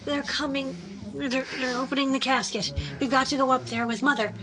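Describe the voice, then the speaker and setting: A woman acts a bereaved young sister in a vintage radio horror play. Her voice is a little high, earnest and dramatic, with an intimate edge of jealousy. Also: fairly even intonation, fairly quick, over music, close to an everyday voice. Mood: consoling, urgent.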